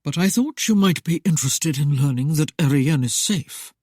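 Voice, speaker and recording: A man's voice: on the high side, lively intonation, moderate pace, warm tone, no echo, clean studio sound. A man voicing an icy, curt nobleman. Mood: cold. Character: cold, curt, aloof nobleman. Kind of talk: audiobook character voice.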